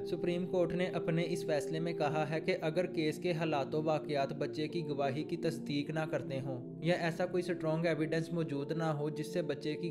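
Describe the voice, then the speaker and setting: A man with a Pakistani accent presenting a scripted legal explainer as an advocate, formal and methodical, going point by point. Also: fairly high pitch.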